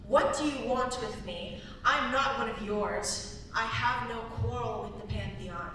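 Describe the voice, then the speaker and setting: A woman's voice: measured pace, bright, forceful tone. Woman playing guarded young princess, firm, clipped lines, stage reading, far from mic, faint hiss. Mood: defiant. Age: young adult. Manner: firm, terse. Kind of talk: staged dramatic script reading. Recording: very echoey hall, distant mic, hiss and rumble.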